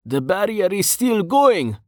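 A man's voice: high-pitched, big pitch swings, slow, a little mellow, dry recording, clean studio sound, voice pushed up, full character voice. Guy doing a whiny lazy wizard dude from a fantasy audiobook, always indignant. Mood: whiny complaining. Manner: complaining, indignant. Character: lazy, whiny male mage adventurer.

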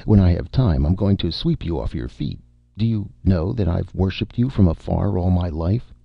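Storybook hero: male reader as glib, insolent male writer, suave confidence, husky and lively delivery. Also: sits low, normal speed, dry recording, lo-fi sound, pitched above the performer's own voice, heavily acted. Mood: urgent, grandiose.

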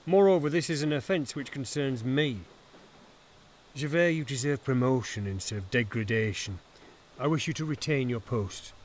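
An actor playing a dignified, kindly male mayor with measured authority. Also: medium pitch, slight background noise, close to an everyday voice. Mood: gentle. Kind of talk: radio drama acting.

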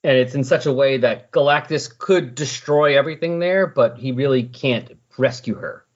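A man's voice: mid-range voice, normal speed. A man hosting a podcast in casual chat, rambling and correcting himself as he recaps, on a dull, lo-fi recording. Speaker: podcast host. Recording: dull, lo-fi sound.

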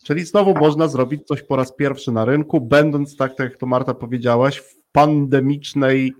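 A man's voice: mid-range voice, steady tone, moderate pace. A man hosting an interview in a casual, conversational way, echoing his guests' phrases. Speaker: interview host.

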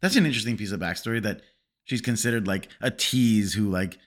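Male co-host, low-pitched, bright edge, rambling casual delivery. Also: fairly quick. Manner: rambling, many fillers. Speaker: podcast co-host. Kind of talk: casual film discussion.

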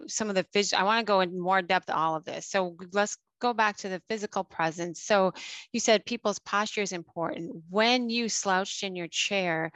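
A woman's voice: mid-range voice, fairly bright tone, no room sound. A woman hosting a podcast, asking interview questions in an enthusiastic, appreciative way. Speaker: podcast host.

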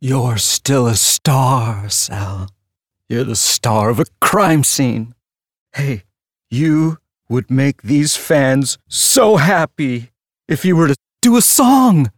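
A man playing an obsessive fan in a theatrical audio drama, fawning and needling with drawn-out phrasing. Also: mid-range voice, no room sound, clean studio sound. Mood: coaxing, taunting. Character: gushing, needling obsessive fan of a dead star.

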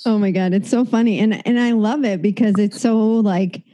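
A woman's voice: mid-range voice, steady tone, normal speed, dark tone. A woman co-hosting a casual podcast chat, telling a story with lots of laughter and filler words. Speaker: podcast co-host.

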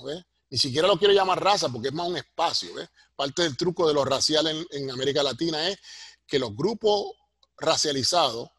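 A middle-aged or older man with a Puerto Rican Spanish accent and a husky voice, explaining things like a professor in an interview and punctuating his points with tag questions. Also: fairly high pitch, a bit bright, no room sound, studio quality. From Puerto Rico. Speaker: sociology professor, interview guest.

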